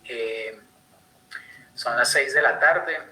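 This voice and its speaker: A man's voice: mid-range voice, very slow, pushed and bright, low-quality recording. A young man from Colombia with a Colombian accent, giving an online conservation talk with many fillers and restarts. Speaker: conservation speaker. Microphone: computer mic on video call.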